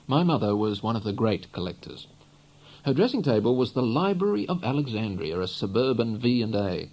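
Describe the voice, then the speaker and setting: A man narrating a literary novel in a measured, reflective way, phrase by phrase, with a soft-edged voice. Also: lowish voice, rough recording. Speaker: audiobook narrator.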